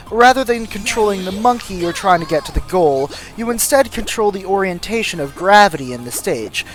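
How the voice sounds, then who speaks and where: A narrator reading a scripted video game review as the host, with dry, self-deprecating humour. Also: medium pitch.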